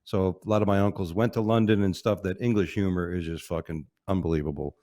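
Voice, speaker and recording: A man with a fairly low voice talking casually on a show. He rambles and swears a lot, and he drives his points home with emphasis. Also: steady tone, fast, warm tone. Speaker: podcast co-host, police officer. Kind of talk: casual chat.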